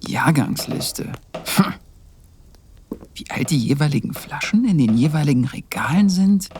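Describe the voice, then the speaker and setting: Hapless monastery novice for fantasy audio drama, male actor. Whining, self-talking, exclamatory, with an animated pitch range. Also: unhurried, slight background noise. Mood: muttering, musing.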